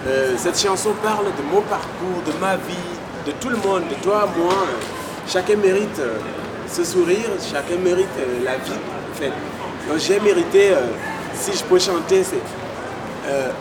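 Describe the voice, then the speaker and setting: Young man from Chad, slightly high voice, hesitant with fillers, loud noise behind. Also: unhurried. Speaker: guest musician. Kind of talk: interview answers.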